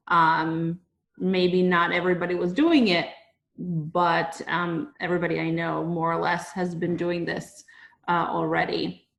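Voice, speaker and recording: Woman, lower register, conversational consultant explaining in discussion, roomy echoing sound. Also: gravelly, fairly bright tone. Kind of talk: casual explanatory panel discussion.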